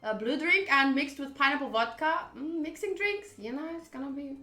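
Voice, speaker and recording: A young woman streaming a game, talking to chat in a playful, animated, jokey way. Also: sits high, moderate pace, echoey room, faint music behind. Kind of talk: casual livestream reaction.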